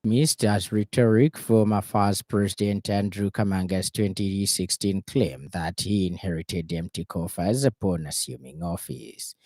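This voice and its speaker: A man's voice: deep voice, a little slow, hardly any pauses, a little mellow, no room sound. A man with a Zambian accent reading a scripted radio news bulletin. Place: radio studio.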